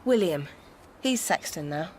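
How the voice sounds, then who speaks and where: A woman's voice: on the low side, expressive, unhurried, darkish tone, a little hiss or hum. An actress playing a friendly, plain-spoken young Victorian village woman in a radio drama, simple and chatty. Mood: candid, helpful.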